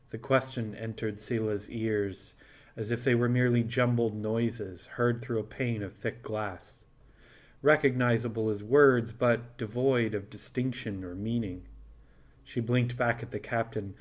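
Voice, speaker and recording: A man reading scripted fiction as an audiobook narrator. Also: medium pitch.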